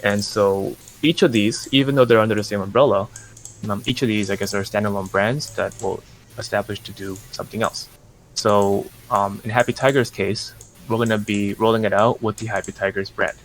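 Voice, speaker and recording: A man with a low, gravelly voice lays out business plans at an unhurried, measured pace, stopping often for fillers and restarts. Faint noise sits under his voice. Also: fairly even intonation, low-quality recording. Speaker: NFT project representative. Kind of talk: casual podcast interview.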